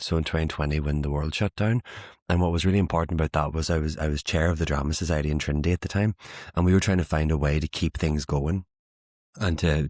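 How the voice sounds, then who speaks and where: A young Irish man in his twenties, chatting in an interview as a theatre maker, self-mocking and quick to laugh. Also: very deep voice, darkish tone, no echo, studio quality. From Ireland. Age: 20s. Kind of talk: casual interview chat.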